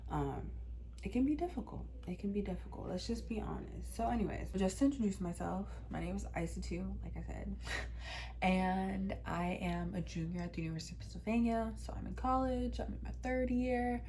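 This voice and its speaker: A woman's voice: medium pitch, brisk, mellow, a little hiss or hum. A young woman in her twenties from Washington, D.C., telling a personal story to her channel in a candid, chatty way with plenty of "um" and "like". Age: 20s. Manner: candid, chatty, many fillers like "um" and "like". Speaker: vlogger.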